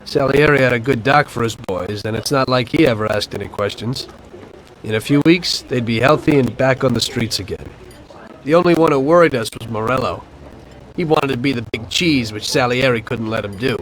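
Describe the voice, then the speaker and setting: Man voicing a cool unrepentant mafioso, mid pitch, even storytelling, faint street noise. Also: brisk, natural voice, rough recording. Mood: calm. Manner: calm, matter-of-fact storytelling. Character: male mafioso turned informant, calm and unrepentant. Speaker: narrator of his past. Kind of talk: video game cutscene acting.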